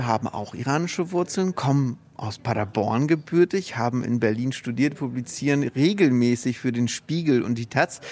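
A man hosting a festival radio interview, speaking as the interviewer to a guest. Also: medium pitch.

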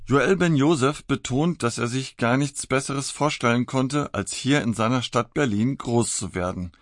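A man's voice: mid-range voice, normal speed, dry recording. Man reading a radio news report, mid voice, dry close mic. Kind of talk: scripted news narration.

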